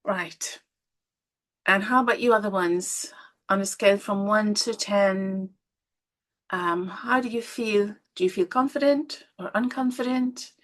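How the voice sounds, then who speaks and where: Gentle Irish woman hosting a webinar. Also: medium pitch, measured pace. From Ireland. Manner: gentle, encouraging, drops to soft asides. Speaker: webinar host and women's coach. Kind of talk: live webinar talk to participants.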